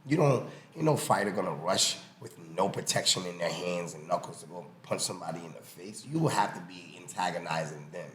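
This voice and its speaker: A man's voice: deep voice, lively intonation, fairly quick, few pauses, a crowd in the background. A man speaking casually and emphatically as a boxing coach and podcast guest, telling personal stories and explaining with examples. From born in the US, early childhood in Haiti, then raised in New York. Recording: echoey room with faint background noise.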